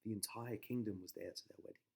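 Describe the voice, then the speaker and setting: A man's voice: fairly low pitch, steady tone, fast talker, creaky, mellow, husky. A man narrating a children's bedtime story as a dramatic storyteller.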